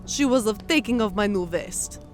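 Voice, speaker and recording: A woman's voice: medium pitch, animated, normal speed, soft background music, close to an everyday voice. A woman voicing a shy, aggrieved female fantasy creature who complains plaintively in quirky, oddly built sentences. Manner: quirky non-native-like grammar, plaintive complaints. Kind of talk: fantasy audio story character acting.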